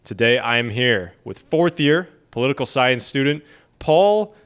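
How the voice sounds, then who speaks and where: A man's voice: medium pitch, lively intonation, unhurried, a bit bright, smooth. A man hosting a podcast interview, asking questions and giving short encouraging replies. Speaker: podcast host. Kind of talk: casual interview.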